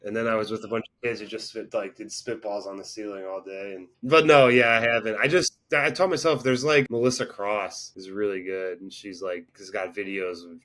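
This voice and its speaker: A young man with a lowish voice talks loosely about his singing. He rambles, hedges with plenty of fillers and pokes fun at himself, sounding easygoing and unpolished. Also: a bit bright. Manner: rambling, self-deprecating, many fillers. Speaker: band singer, interview guest. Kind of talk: casual interview chat.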